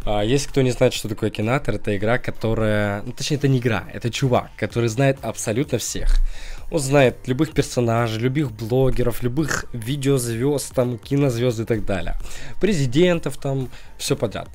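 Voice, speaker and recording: A man's voice: medium pitch, normal speed, a little mellow. A young man, a gaming YouTuber, chatting casually over a screen recording, joking and laughing often. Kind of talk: casual let's-play commentary.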